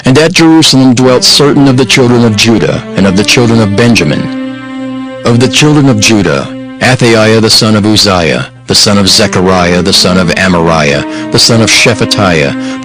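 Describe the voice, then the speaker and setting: A man narrates a dramatized scripture audiobook in a solemn, measured, formal way. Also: fairly low pitch, low-quality recording. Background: background music.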